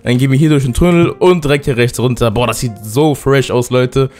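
Hoarse man, excitable gamer commentary, loud outbursts, average pitch, faint music behind. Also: fairly quick. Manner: excitable, loud outbursts, keeps addressing viewers. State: hoarse from a cold. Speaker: gaming YouTuber. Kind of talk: excited let's-play commentary.

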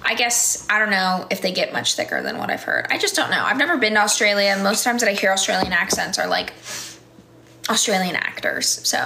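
Young american woman, live-stream chat, laughs often, clear bright voice, faint room hum. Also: medium pitch, big pitch swings, a little fast. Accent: General American. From United States. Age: 20s. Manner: chatty, laughs often, many "like" fillers. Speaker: livestream host. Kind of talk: casual live-stream chat reading viewer comments. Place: small indoor room. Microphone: phone camera close up.

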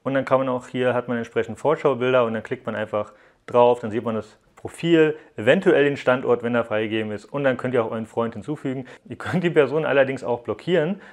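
A man's voice: medium pitch, fast. A young man giving a casual, conversational hands-on review to viewers, explaining things informally as he goes. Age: young adult. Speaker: tech app reviewer. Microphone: lapel mic.

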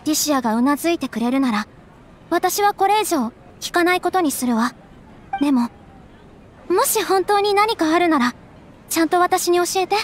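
A woman voicing a gentle, caring young noblewoman who speaks softly and politely in a game cutscene. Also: extremely high pitch, lively intonation, moderate pace, pauses often, a little hiss or hum. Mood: earnest. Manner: soft, polite, refined. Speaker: game character.

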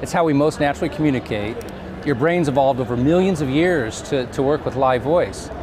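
A man in his fifties explaining things conversationally as an interview guest, in a measured, halting way with pauses. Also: mid-range voice, crowd noise. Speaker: company CEO, interview guest. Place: trade show booth set, indoors. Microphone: lapel mic. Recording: clear background noise of busy trade show.

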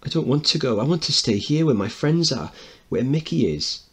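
Man doing a high-pitched, polite little posh boy. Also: expressive, normal speed, slightly rough. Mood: tearful. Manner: well-mannered, pleading. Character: polite, earnest upper-class little boy.

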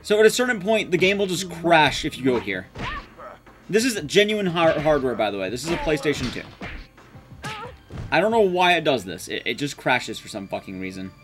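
Young male game streamer, higher register, lively swings in pitch, casual self-mocking live commentary with some swearing. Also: normal speed. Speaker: speedrun streamer. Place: small indoor streaming room. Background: game music.

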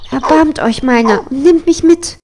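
A woman's voice: sits high, moderate pace, noisy background, pitched above the performer's own voice. A woman voicing a meek young princess in disguise in a storybook fairy tale, humble and pleading. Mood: frightened pleading.

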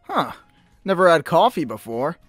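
Man acting a scrappy, animated teenage boy. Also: on the high side, normal speed, no echo, faint music behind. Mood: blunt, eager. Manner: blunt, excitable, crude. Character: scrappy, simple-minded, hungry teenage boy. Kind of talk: comic dub acting.

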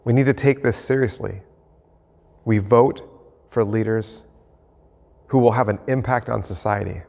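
A man in his fifties, an American pastor, preaching an earnest, measured sermon to camera from an empty church sanctuary. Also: fairly low pitch, creaky, darkish tone. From United States. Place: empty church sanctuary, indoors, mid-sized. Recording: reverberant church hall.

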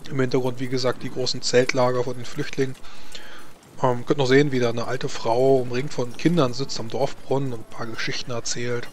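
A man works as game master at a tabletop role-playing game. He narrates in a halting, deliberate way at a middle pitch, with faint noise behind him. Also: flat delivery.

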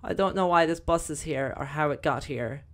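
A young woman with a slightly croaky voice, chatting casually through gameplay commentary with lots of fillers. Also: sits low, moderate pace. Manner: chatty, rambling, many fillers. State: croaky, voice recovering. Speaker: gaming video host.

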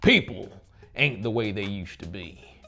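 A man's voice: on the low side, lively intonation, unhurried, pushed and bright, soft background music. A man voicing a cranky, insistent old small-town man with a Southern American accent in an audiobook. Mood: grumbling. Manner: grumbling, goading.

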